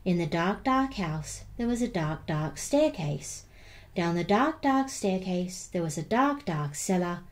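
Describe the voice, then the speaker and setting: A woman reading a children's picture book aloud as storyteller, expressively and with deliberate emphasis on repeated words. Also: on the low side, animated.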